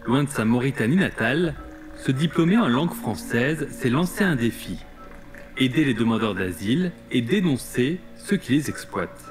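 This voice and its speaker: A man's voice: medium pitch, lively intonation, moderate pace, over music. A man reading scripted narration for an investigative television news report.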